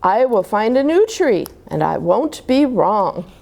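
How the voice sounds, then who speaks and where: A woman's voice: medium pitch, big pitch swings, slow pace, gravelly, darkish tone, slight background noise, full character voice. A woman voicing a boastful, confident father bear in a children's storybook, making pompous, emphatic pronouncements.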